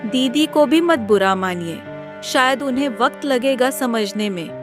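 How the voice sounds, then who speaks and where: Casting: woman as kind young village wife, storybook drama; higher voice, slow, soothing delivery. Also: lively intonation, music in the background. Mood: caring. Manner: gentle, reassuring. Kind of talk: dramatized Hindi moral story.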